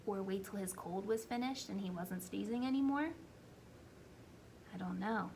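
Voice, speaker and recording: A woman's voice: mid-range voice, moderate pace, vocal fry, a little mellow, slight background noise, rough recording. A woman preschool teacher explaining a story simply and prompting young children with questions during a read-aloud.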